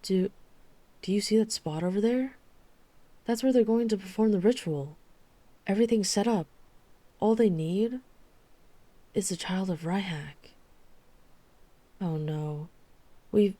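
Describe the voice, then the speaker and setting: A woman playing a dry-witted female vampire hunter in an urban fantasy ASMR roleplay, speaking in a measured, deliberate storytelling manner. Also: medium pitch, dark tone, no echo, lightly acted. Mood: alarmed, urgent.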